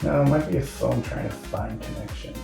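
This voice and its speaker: A man's voice: mid-range voice, wildly swooping pitch, fairly quick, creaky. A man working as a recording engineer, casually troubleshooting a technical problem aloud. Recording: roomy, distant mic, music behind.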